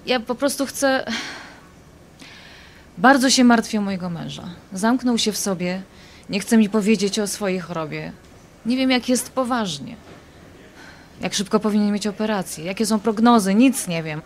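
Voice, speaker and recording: An actress playing a desperate, anxious wife in a radio drama, tense and insistent, with curt irritation. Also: female voice, medium pitch, animated, moderate pace, a little hiss or hum, close to an everyday voice.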